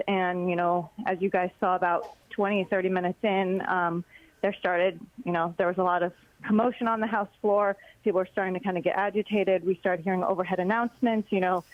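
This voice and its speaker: Woman reporting over a muffled phone line. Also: medium pitch. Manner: hesitant, many filler words, recounting events. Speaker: congressional reporter. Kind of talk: live phone report on breaking news.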